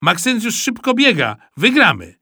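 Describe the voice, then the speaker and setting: Man acting storybook schoolboy, excitable, squabbling, whiny exclamations, sharp bright voice, very animated pitch. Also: normal speed, slightly rough, no echo, studio quality, exaggerated voice. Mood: eager.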